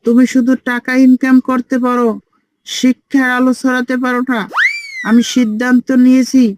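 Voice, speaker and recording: Woman voicing a high, cheeky little boy. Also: monotone, normal speed, background noise, close to an everyday voice. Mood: lecturing. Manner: bossy, lecturing. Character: cheeky, know-it-all five-year-old boy teacher. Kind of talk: comic cartoon dialogue.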